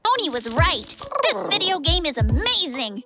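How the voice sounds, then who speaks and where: An actor voicing a bossy, excitable little kid in a cartoon, emphatic and expressive. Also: high-pitched, moderate pace, over loud music. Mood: absorbed. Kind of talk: animated cartoon acting.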